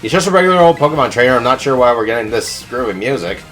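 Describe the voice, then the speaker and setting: A man's voice: mid-range voice, expressive, very fast, a bit bright, faint music behind. A man hosting a gaming video with casual, chatty and playful gameplay commentary.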